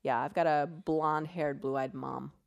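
Low-voiced, bubbly woman guest. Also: steady tone, a little slow, gravelly. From Florida, USA. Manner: bubbly, enthusiastic, laughs easily. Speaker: author guest. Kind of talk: casual interview chat.

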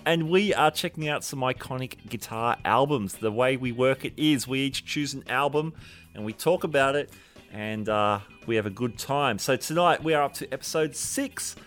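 A man's voice: medium pitch, a bit bright. A man hosting a podcast, introducing guests in an upbeat, casual way. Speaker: podcast host. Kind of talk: casual chat.

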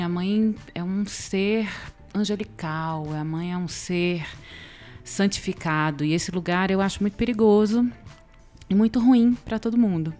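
A woman's voice: lowish voice, a little mellow. A woman with a Brasília accent, talking reflectively as a guest on a podcast. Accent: Brazilian, Brasília. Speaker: podcast guest, parenting educator. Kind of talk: reflective conversation.

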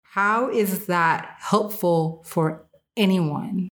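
Female podcast host with a fairly low voice, delivering frank, emphatic opinion commentary in casual speech. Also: drawn-out words. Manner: emphatic, candid, with casual swearing.

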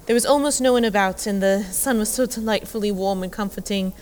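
An actress in an audio drama playing a polite housemaid, wordy, deferential and earnest. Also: female voice, mid-range voice, fairly quick, darkish tone, close to an everyday voice. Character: polite, chatty housemaid, shaken witness.

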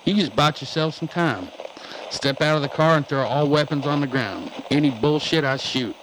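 An actor playing a threatening, terse armed border guard, heard through a car radio speaker in a radio play. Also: male voice, a little high, moderate pace. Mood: commanding. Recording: clearly noisy radio transmission.

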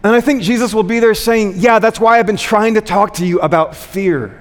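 A male preacher with a fairly high voice speaks in a large hall with long reverb. He delivers his sermon conversationally, posing questions, repeating key phrases for weight and checking in with his listeners. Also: normal speed. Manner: rhetorical questions, repeated phrases for emphasis, frequent "right?" check-ins.

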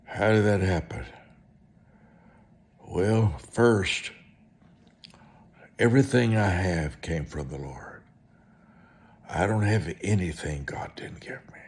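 Man, evangelist, very low voice, unhurried pace, folksy, emphatic, testimony-style preaching. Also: darkish tone. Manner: folksy, deliberate, emphatic, repeating phrases for weight. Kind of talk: sermon-like personal testimony.

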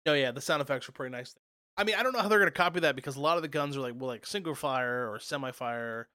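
A young man hosting a casual gaming podcast, talking fast and excitably with lots of fillers, a youthful, fairly high voice. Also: dry recording, studio quality. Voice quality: youthful, higher voice. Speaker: podcast host.

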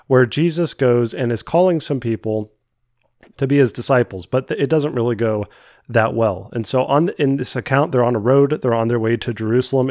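A young man with a cold-congested voice hosts his own faith podcast, speaking earnestly and often stumbling back over a word before pushing on. His pitch sits in an ordinary middle range. The recording is dry and close, with no room sound around him. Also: darkish tone, dry recording. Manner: earnest, with frequent restarts and repeated words. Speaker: podcast host. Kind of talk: solo devotional podcast talk.

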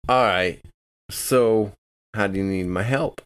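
Casting: male tabletop player, mid-to-low voice, dry close sound, casual talk. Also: takes it slowly, clean tone, no echo. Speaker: tabletop role-playing game player. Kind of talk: casual in-game chat.

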